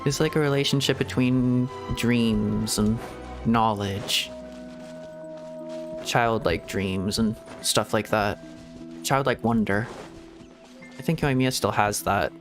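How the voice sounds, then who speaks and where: A man's voice: lowish voice, slow, pauses often, over music. Musing man streaming a game. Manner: rambling and reflective, with hedges and soft laughs. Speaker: VTuber streamer. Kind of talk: casual gameplay commentary.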